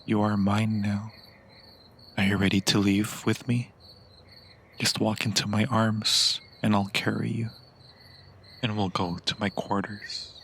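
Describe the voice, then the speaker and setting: Guy doing a lowish gravelly vampire lover for asmr, romantic and possessive, takes his time. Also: little pitch movement, a bit bright, a little hiss or hum. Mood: possessive, inviting. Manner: slow, romantic, theatrical, lingering pauses. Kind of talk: intimate ASMR roleplay, improvised monologue.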